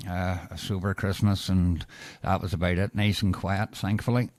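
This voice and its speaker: A middle-aged man with a Northern Irish accent holds a casual radio chat. His voice sits fairly low and is recorded very dry. He talks in a colloquial, matey way, like an operator at ease. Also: level tone, moderate pace, darkish tone, no room sound. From Northern Ireland.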